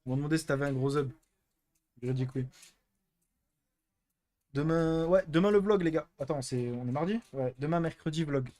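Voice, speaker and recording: A man's voice: on the high side, moderate pace, long pauses, warm tone. A young man hosting a live stream, chatting casually and answering chat with crude, teasing jokes. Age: young adult.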